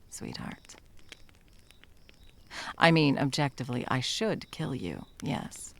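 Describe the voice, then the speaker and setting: A woman playing a stern, condescending yet tender monster hunter in an ASMR roleplay, commanding and dropping often into breathy whispers. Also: extremely low pitch, exaggerated voice. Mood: grave, conflicted. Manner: commanding, condescending, with pet names.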